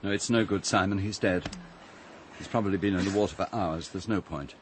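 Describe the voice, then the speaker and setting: An actor playing a suave, cool owner of an island castle in a radio drama, British, with clipped, dryly cutting delivery. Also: male voice, sits low, fairly quick, slight background noise, lightly acted. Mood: grave.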